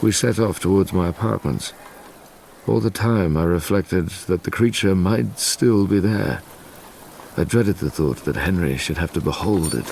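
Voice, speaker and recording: An actor playing a haunted young gentleman scientist, narrating his past gravely in an audio drama. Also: low-pitched, slight background noise. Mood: dread. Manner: grave, literary, measured.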